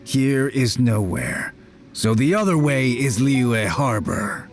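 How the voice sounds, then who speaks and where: A man's voice: mid-range voice, animated, slow pace, soft background music. A man playing a cocky but cowardly petty bandit in a video game, with a smarmy, mocking manner. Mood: mocking, smug. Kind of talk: video game character voice acting.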